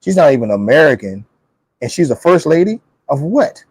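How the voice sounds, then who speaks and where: Emphatic, higher-voiced man talking politics. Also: normal speed, husky, no echo. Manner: animated, emphatic, repeats phrases; blunt, colloquial. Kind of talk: opinionated casual political chat.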